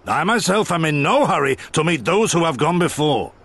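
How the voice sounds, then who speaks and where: A middle-aged man acting as a stoic, penitent warrior mentor in a video game, gravelly, grave and formal but quietly fond. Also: fairly high pitch, big pitch swings, normal speed, full character voice.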